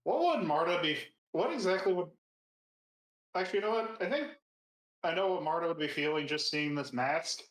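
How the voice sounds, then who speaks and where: A man's voice: a little high, a little fast, fairly bright tone. A man playing a tabletop game, asking questions hesitantly and often rephrasing, in a roomy recording. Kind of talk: casual game chat. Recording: roomy, echoing voice pickup.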